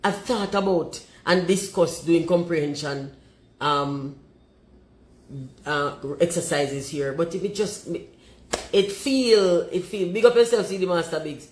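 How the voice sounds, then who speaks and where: A Jamaican woman with a deep voice, talking forthrightly and emphatically and slipping into patois. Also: measured pace, echoey room, rough recording. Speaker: commentator.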